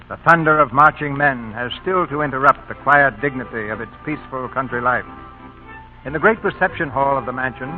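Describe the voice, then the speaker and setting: A man hosting a radio theatre, reading formal scripted narration in 1940s broadcast style. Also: mid-range voice. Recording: tinny old radio broadcast, music.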